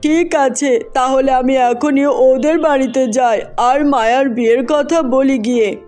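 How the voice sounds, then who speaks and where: A woman's voice: high voice, fairly even intonation, a little slow, a little mellow. A woman voicing a mild, doting middle-aged father in a cartoon fairy tale. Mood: reassuring.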